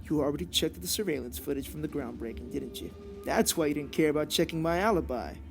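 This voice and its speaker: A man playing a wry, defiant young vigilante partner in an audio drama, with a sardonic, needling delivery and some gravel. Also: a little high, expressive, quick pace, music in the background.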